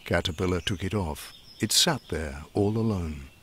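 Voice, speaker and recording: A man narrating a rhyming children's bedtime story in expressive, measured verse. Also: sits low, soft background music.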